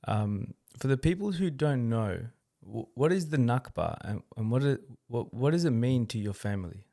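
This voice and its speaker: Guy hosting a podcast, average pitch, conversational, putting questions to a guest. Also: expressive, moderate pace, creaky, warm tone. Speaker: podcast host, interviewer. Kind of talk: conversational interviewing.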